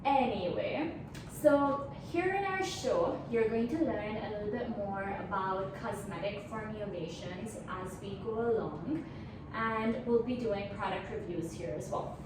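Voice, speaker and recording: A woman's voice: mid-range voice, a little slow. A young woman from Pakistan with a Pakistani accent, co-hosting and explaining a science lesson in an earnest way, with frequent ums. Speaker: co-host, chemistry graduate student. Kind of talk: casual educational chat. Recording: echoey room, mic far off, noisy.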